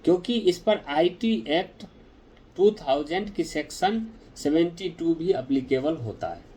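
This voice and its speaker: A man explaining a legal ruling to viewers in a direct, deliberate, explanatory way. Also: on the high side, very animated, dark tone, low-quality recording.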